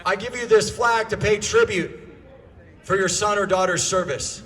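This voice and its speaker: High, forceful American man giving a rally speech. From United States. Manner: emphatic, repeats key phrases for effect; projected, often shouting. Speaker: political activist addressing a rally.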